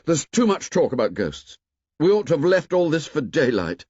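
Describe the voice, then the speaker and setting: Guy voicing a boy leader, firm and insistent, voice pushed up higher, flat dry audio. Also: moderate pace, husky, dry recording. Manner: insistent, urging order. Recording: dull, dry recording.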